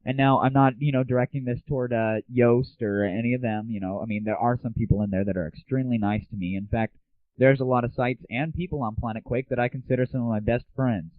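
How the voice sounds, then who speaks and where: A man's voice: medium pitch, steady tone, fast talker, no room sound. A man hosting an online gaming news show, talking casually in a slangy, opinionated and irreverent way. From United States. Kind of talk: casual solo news commentary. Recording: muffled phone-like lo-fi audio.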